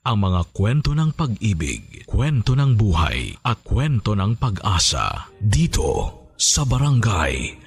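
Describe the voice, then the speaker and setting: A man's voice: on the low side, huge pitch swings, slow pace, breathy edge. A man with a Filipino accent announcing a radio programme in a scripted promo style. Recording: music over the announcement.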